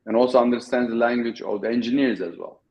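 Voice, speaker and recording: A middle-aged man speaks English with a Turkish accent, stopping and restarting his sentences often as he answers an interviewer. His voice sits in an ordinary middle range. The sound is dull and lo-fi, like a remote call. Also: mid-range voice. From Turkey. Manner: halting, many restarts and fillers. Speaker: guest. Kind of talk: casual interview talk.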